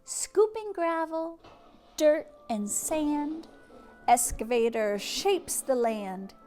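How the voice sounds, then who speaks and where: A woman hosting a read-aloud for young children, speaking expressively and gently, with hushes and words that drop toward a whisper. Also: sits high, expressive, drawn-out words, faint music behind. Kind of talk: children's storybook read-aloud and chat to families.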